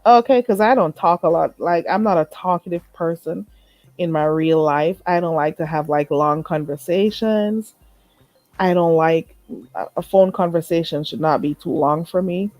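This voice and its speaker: A woman's voice: on the low side, moderate pace, a little mellow, dry recording. A woman hosting a late night live chat with viewers. She talks in a chatty, emphatic way, repeats herself, and sounds stuffy and a little nasal. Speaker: live stream host.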